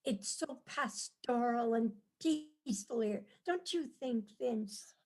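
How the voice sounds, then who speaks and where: A wide-eyed, cheerful wife from the city, acted by a woman in a play reading, is enchanted by country life. She is chatty and dreamy, with a high voice and an unhurried delivery. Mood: dreamy, reasoning. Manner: chatty, dreamy, easily excited. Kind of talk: staged reading of a comic play.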